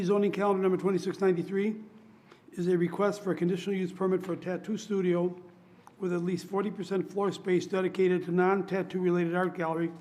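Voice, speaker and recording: A man chairing a public commission meeting, hoarse from laryngitis, running votes in a steady, polite, procedural way. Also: high-pitched. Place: council chamber.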